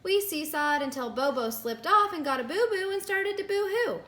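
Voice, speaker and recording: An earnest young schoolgirl, acted out by a woman reading a storybook, speaks high and sing-song. She tumbles through silly rhyming words in an exclamatory way. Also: lively intonation, moderate pace, pushed and bright, exaggerated voice. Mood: earnest, sheepish.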